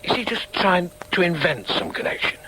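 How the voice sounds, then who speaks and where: An actor playing an earnest, wary middle-aged communist trade unionist father with a Northern English accent, halting and plain-spoken, in a naturalistic radio drama. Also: high-pitched, very animated, crowd noise, rough recording. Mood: suspicious. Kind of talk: naturalistic radio drama acting, dialogue and inner thoughts.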